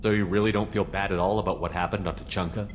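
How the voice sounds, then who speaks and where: An actor playing a steady, probing human military commander in a video game, direct and even-tempered. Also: male voice, low voice, fast, in a large hall, slight background noise, lightly acted. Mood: casual, concerned. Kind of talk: video game character acting.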